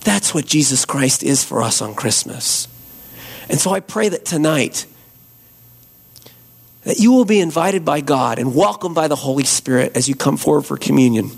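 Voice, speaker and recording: A hoarse man preaching a Christmas Eve sermon and leading prayer as a pastor, slow and earnest. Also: on the high side, animated. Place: church.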